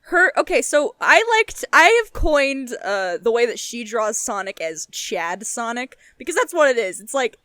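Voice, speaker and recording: A young woman with a fairly high voice, close and dry in the recording. She co-hosts a casual chat, talking in an emphatic, animated manner with plenty of loose swearing. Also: normal speed, few pauses, clear, resonant tone, dry recording. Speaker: podcast co-host.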